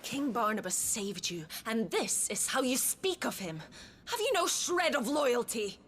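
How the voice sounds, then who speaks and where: A woman playing a proud, seductive noblewoman in a video game, speaking in a haughty, breathy, theatrical way. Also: fairly high pitch, big pitch swings, measured pace, fairly bright tone, full character voice. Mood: indignant, scornful. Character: proud, seductive noblewoman devoted to her liege, bitter and wounded. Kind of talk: dramatic video game acting.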